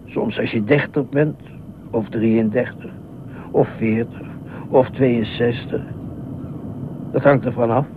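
An actor in a Dutch radio play as an anxious, obsessive widowed ex-fireman who speaks haltingly, repeats himself and nitpicks. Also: fairly even intonation, lightly acted. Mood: earnest, rambling. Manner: halting, repetitive, pedantic, fretful. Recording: muffled narrow-band old radio recording, faint rumble.

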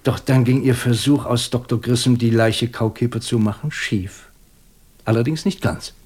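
A shrewd male police inspector played by an actor for a radio crime play. His medium-pitched voice probes methodically and stays composed. He lays out each point with dry superiority. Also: steady tone, moderate pace, darkish tone, slightly rough, natural voice. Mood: probing, confident. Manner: methodical, probing, dryly superior. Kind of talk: German radio crime drama acting.

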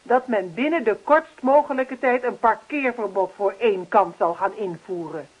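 An actress playing an earnest, officious neighbourhood campaigner in a radio play, speechifying persistently. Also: female voice, mid-range voice, normal speed, slightly rough, lightly acted. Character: earnest, insistent female neighbourhood action-committee campaigner. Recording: dull lo-fi radio play recording.

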